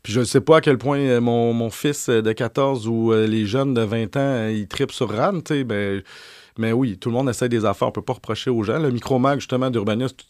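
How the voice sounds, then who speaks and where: A man in his forties with a Québécois French accent, a journalist answering interview questions, talking fast with many restarts and repeated words. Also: medium pitch, dry recording, clean studio sound. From Quebec. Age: 40s. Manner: lively storyteller. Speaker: journalist guest. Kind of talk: candid conversational interview answers.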